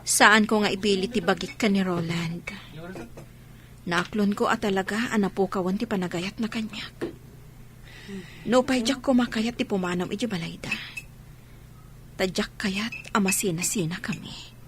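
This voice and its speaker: Babae na gumaganap na nasasaktang ina, maingay ang rekording. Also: female voice, medium pitch, moderate pace, long pauses, noisy background, lightly acted. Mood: sorrowful. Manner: pleading, sorrowful. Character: heartbroken, gentle young mother leaving her marital home. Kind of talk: Ilocano audio drama acting.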